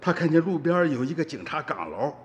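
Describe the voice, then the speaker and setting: A man's voice: a little high, sing-song, a little fast. An older man with a Shaanxi accent, narrating a radio drama in a folksy way as a grandfather. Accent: Shaanxi dialect. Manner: storytelling, folksy. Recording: reverberant radio drama with music.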